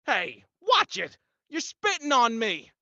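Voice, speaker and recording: An actor playing a desperate, exasperated nephew in a melodramatic 1940s-style horror radio play. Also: male voice, extremely high pitch, huge pitch swings, slow, sharp tone, dry recording, highs cut off, full character voice. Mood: indignant. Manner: pleading, indignant, melodramatic.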